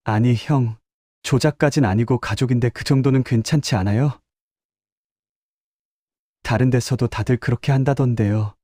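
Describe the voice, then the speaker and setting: A man's voice: mid-range voice, normal speed, long pauses, dark tone, no room sound, clean studio sound, pitched above the performer's own voice, close to an everyday voice. A male narrator voicing a young male intern who dodges blame with excuses. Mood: defensive. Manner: evasive, making excuses.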